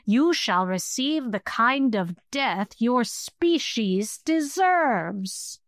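A woman voicing a hateful, hissing, winged monster in an audiobook, speaking with a rasping, altered voice pitched above her own. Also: animated, extremely slow, heavily acted. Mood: menacing.

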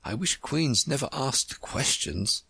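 An earnest storybook girl, played by a reader, raised in pitch with a soft husky edge. She is polite and sensible, careful and grave in how she answers. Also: lively intonation, slow, no echo, heavily acted. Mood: firm.

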